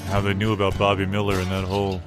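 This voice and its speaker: A man playing a bewildered, paranoid drifter in a halting, musing comedy monologue over guitar music. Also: deep voice, monotone, close to an everyday voice. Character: bewildered paranoid stranded man, waiting for secret signs. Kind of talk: absurdist monologue in improvised audio comedy. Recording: loud guitar music behind. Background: plucked guitar music.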